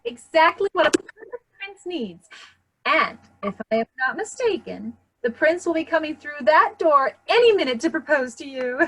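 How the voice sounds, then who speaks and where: A woman's voice: high voice, big pitch swings, normal speed, a bit bright, faint music behind, heavily acted, low-quality recording. A woman playing a haughty stepmother with a cutting, theatrical manner in a fairy-tale play reading. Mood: doting, reassuring. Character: haughty, scolding stepmother doting on her daughter. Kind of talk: staged reading of children's play.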